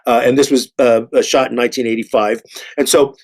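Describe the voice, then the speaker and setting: A middle-aged man, an actor, talks reflectively in a panel discussion, haltingly, often repeating and restarting his words. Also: medium pitch, steady tone, darkish tone.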